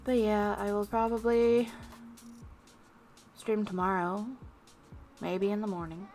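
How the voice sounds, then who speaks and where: A woman's voice: mid-range voice, little pitch movement, takes it slowly, darkish tone. A woman livestreamer chatting casually to viewers in a rambling, self-deprecating way, with music behind her. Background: background music.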